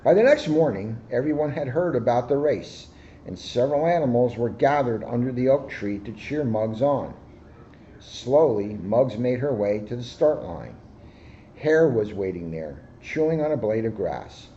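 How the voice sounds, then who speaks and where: A man's voice: medium pitch, animated, moderate pace, dark tone, highs cut off, slight background noise. Man reading a children's story aloud. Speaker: police officer.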